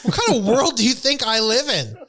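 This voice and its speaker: A man co-hosting a comedy podcast, talking in a jokey, indignant way with quick asides. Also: very high-pitched, sing-song, bright, forceful tone. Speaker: podcast co-host. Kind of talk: comedic casual chat.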